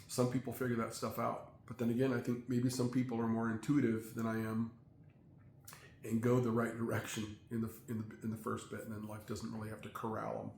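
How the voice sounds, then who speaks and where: A middle-aged man talking in a casual, rambling monologue, halting with many fillers and restarts. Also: mid-range voice, monotone, creaky, a little mellow, a little hiss or hum. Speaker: vlogger. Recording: echoey room, faint ambience.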